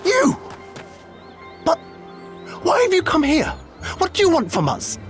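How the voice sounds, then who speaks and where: High-voiced, brash village boy. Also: huge pitch swings, a little slow, soft background music, heavily acted. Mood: alarmed. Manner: blunt, urgent, colloquial. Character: brash, anxious village boy in a fantasy game. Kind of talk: video game voice acting.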